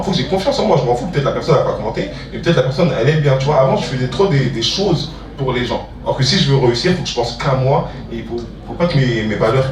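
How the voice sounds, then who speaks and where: A man speaking informally as a guest at a public talk, using slang and repeating phrases for emphasis. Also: medium pitch. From Ivory Coast. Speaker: music producer and entrepreneur, guest speaker. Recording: roomy hall, distant mic, audience murmur.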